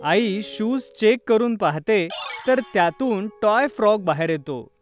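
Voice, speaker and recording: A woman's voice: mid-range voice, expressive, unhurried, faint music behind. A woman narrator reading a scripted children's story.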